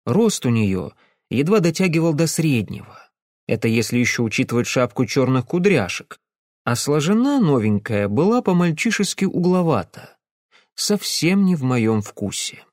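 Lively, expressive male audiobook narrator. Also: moderate pace, dry recording, clean studio sound. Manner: lively, expressive reading with wide pitch swings. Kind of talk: first-person audiobook narration.

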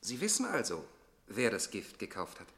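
An actor playing a sceptical, argumentative stepson in a 1960s German radio play, questioning in a pointed, challenging way. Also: male voice, fairly low pitch, wildly swooping pitch, normal speed, creaky, a bit bright, full character voice.

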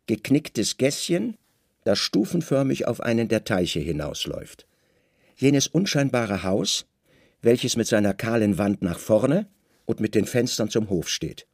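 A man narrating a literary radio play with dramatic, deliberate storytelling in short phrases. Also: fairly low pitch, big pitch swings, vocal fry, darkish tone, no room sound, studio quality.

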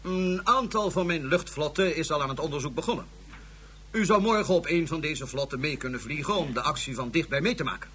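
A man's voice: on the high side, expressive, brisk, sharp tone, slightly rough, full character voice. An actor playing a haughty male Martian ruler, speaking in a formal, condescending way. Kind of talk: radio drama acting.

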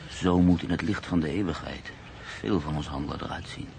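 An actor playing a cultured, calmly ironic journalist and writer in a Dutch radio drama, speaking in a measured, reflective, formal way. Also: male voice, a bass voice, vocal fry, slight background noise. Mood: pensive. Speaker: lead actor and first-person narrator.

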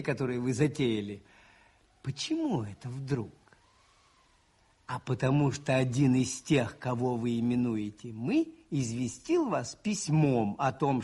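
Shrewd, husky, animated priest detective. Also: male voice, mid-range voice, exaggerated voice. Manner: musing, ironic, expressive and conversational. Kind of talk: Soviet radio play acting.

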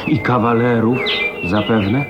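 A man's voice: medium pitch, fairly even intonation, measured pace, lo-fi sound, very noisy, natural voice. An actor in a Polish radio drama playing an ardent, courtly count, formal and theatrical in his wooing. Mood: courteous, wounded. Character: ardent, courtly count, a persistent lovestruck suitor. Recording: loud music under the voice.

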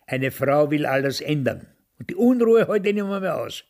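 Gravelly, cantankerous old Austrian widower. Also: male voice, fairly high pitch, very animated, moderate pace, a little mellow. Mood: grumbling. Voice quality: gravelly, husky. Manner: grumbling, blunt, dismissive. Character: cantankerous, cynical old widower, about ninety. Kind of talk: radio play dialogue.

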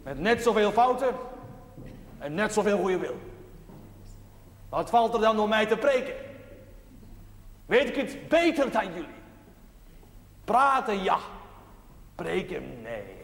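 An actor playing a plain-spoken, earnest village chaplain, preaching a dramatic sermon in short, deliberate phrases. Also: male voice, sits high, sing-song, full character voice. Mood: earnest, humble. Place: large reverberant interior. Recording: echoey church hall, noisy old TV audio.

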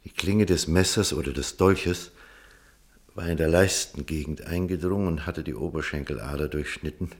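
A low-pitched, earnest male doctor in a German radio play. He speaks firmly and with urgency, reasoning like someone responsible for a patient's life. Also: moderate pace. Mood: grave narrating. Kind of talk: German radio drama acting and first-person narration.

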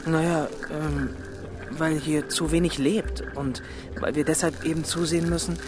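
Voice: medium pitch, flat delivery, moderate pace, over music, natural voice. A performer playing a young pupil in a German radio drama, cheeky and reluctant, with an offhand, hesitant way of answering.

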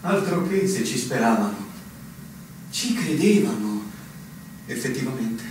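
Heard from a distance in a very echoey space, a veteran army captain in a radio play. His mid-pitched voice moves expressively, measured and consoling, with a resigned, world-weary air. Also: big pitch swings. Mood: wistful, weary. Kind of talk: stage-style radio drama acting. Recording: very echoey, distant, faint noise.

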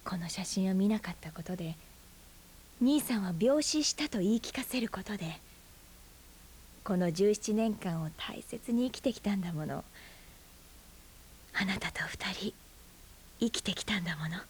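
An actress in her forties playing a brooding, bitter aunt who is a schoolteacher, speaking softly and often in a half-whisper in a radio drama. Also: medium pitch, expressive, normal speed, long pauses, a little hiss or hum, lightly acted. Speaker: high school teacher.

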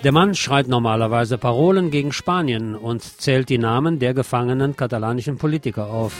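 Middle-aged guy doing ironic radio commentary, theatrical emphasis, medium pitch, music behind him. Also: a little fast. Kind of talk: scripted satirical commentary and literary reportage.

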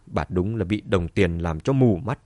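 A man's voice: deep voice, normal speed, mellow, no room sound, pitched below the performer's own voice, lightly acted. A man voicing a cold, scornful man in an audiobook, sneering and controlled as he accuses.

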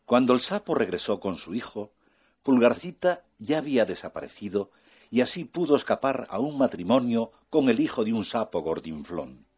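A man narrating a children's fairy tale in an expressive, soft-spoken way. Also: mid-range voice, moderate pace, husky. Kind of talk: children's storytelling.

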